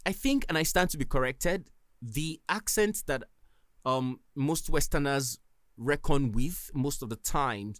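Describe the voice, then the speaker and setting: A man's voice: medium pitch, fairly bright tone, no echo, clean studio sound. A man with a Nigerian accent, hosting a solo podcast and giving earnest, emphatic advice. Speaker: podcast host.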